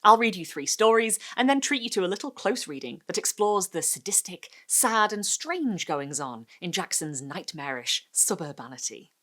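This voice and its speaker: A woman host delivers scripted narration with theatrical flair. Her pitch moves very expressively, and she lingers on pauses for drama. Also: animated, a bit bright.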